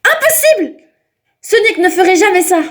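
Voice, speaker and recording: A woman's voice: very high-pitched, very animated, normal speed. A woman voicing a devoted, stubborn young hedgehog girl in an amateur French game fan dub. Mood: indignant. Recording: background music under the voice.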